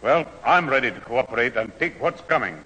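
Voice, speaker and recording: A man playing an irascible, long-suffering old grandfather with a Scottish accent in a 1970s radio sitcom, his voice husky. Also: mid-range voice, lively intonation, fairly quick, pushed and bright, muffled recording. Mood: resigned. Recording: reverberant old radio hall recording.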